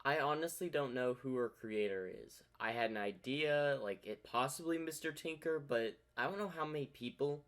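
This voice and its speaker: A man's voice: mid-range voice, normal speed, few pauses, a bit bright. A man giving casual fan commentary as the host of a reaction video.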